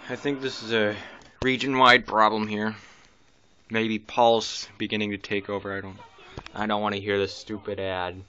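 A man's voice: lowish voice, little pitch movement, normal speed, sharp tone. A man giving casual, rambling running commentary as a radio hobbyist, often hesitating and correcting himself. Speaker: amateur weather radio hobbyist and vlogger. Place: indoors in a room at home. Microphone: handheld camera mic, speaker behind the camera. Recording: muffled camera audio with background hiss.

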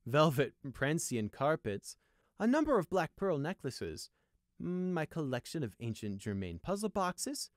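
A pompous male unicorn noble, played by a man reading a storybook, boasts in an airy, self-satisfied voice. His pitch is raised and swoops freely as he savours his words. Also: sing-song, a little slow, no echo, studio quality. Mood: boastful.

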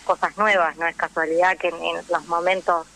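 A woman's voice: fairly low pitch, flat delivery, fairly quick, fairly bright tone. A woman with a Rioplatense Argentine accent, speaking conversationally and explaining at length as a guest in a radio interview. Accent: Rioplatense Argentine Spanish. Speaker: guest, actress and radio drama teacher.

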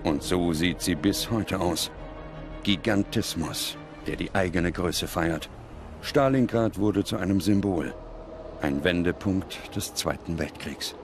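A man reading scripted documentary narration in a solemn, measured manner with dramatic pauses. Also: low voice. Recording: loud music under the voice. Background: music.

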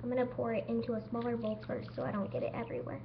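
Woman, amateur cooking-show host, unscripted and a little halting, recorded on a camera mic with a dull, noisy sound. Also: fairly high pitch, very animated, gravelly. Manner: unscripted, stumbling over words now and then. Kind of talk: homemade cooking demonstration. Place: home kitchen. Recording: dull lo-fi home video with noise.

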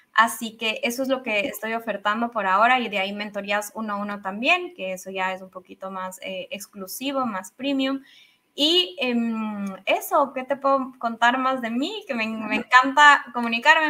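A woman in her thirties from Ecuador with an Ecuadorian Spanish accent, a podcast guest chatting expressively about her coaching work over a video call. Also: a little high. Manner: expressive, enthusiastic, many fillers and restarts. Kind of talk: casual interview chat. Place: home office with a big microphone. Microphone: studio microphone on video call.

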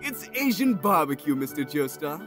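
A man's voice: fairly high pitch, big pitch swings, normal speed, music in the background. A man playing a sly, spiteful young villain in an anime parody, mock-polite and melodramatic. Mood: smug, mock-polite.

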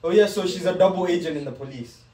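A man in his twenties chatting excitedly and casually with friends as he reacts to a video. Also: sits high, fast talker. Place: living room, on a couch. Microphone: camera a few metres away. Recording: echoey room, distant microphone.

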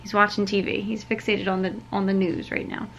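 A woman in her twenties chatting casually and haltingly to camera in an informal, conversational way. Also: mid-range voice, level tone, fairly bright tone, lo-fi sound, slight background noise. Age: 20s. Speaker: vlogger. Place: hotel room, indoors.

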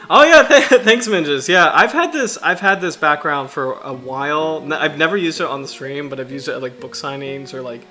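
A man in his thirties from the US Chesapeake Bay region, hosting a casual livestream in a halting, self-deprecating way, his throat slightly raspy from allergies. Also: on the high side, sharp tone. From United States, Chesapeake Bay region. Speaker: livestream host.

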